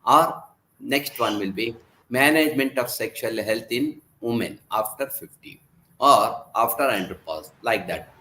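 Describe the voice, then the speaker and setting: A man giving an online medical lecture, hoarse from laryngitis, halting with many fillers and restarts. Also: medium pitch, animated, pushed and bright, poor audio. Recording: faint background noise.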